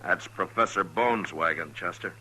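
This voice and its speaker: A grave American marshal of the Old West in a radio drama. The voice is extremely deep and very forceful, with terse, measured delivery. A light layer of noise sits on the vintage recording. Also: male voice. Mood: alert, businesslike. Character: stern, steady frontier marshal of the Old West, grown man. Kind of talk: 1950s radio western acting. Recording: faintly noisy old 1950s radio recording.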